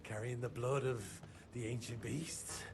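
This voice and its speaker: An actor playing a shifty, cowardly, foul-mouthed vampire informant in a video game, with a jittery, stammering delivery. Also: male voice, medium pitch, fairly even intonation, unhurried, natural voice. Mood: nervous, informing. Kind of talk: video game cinematic dialogue.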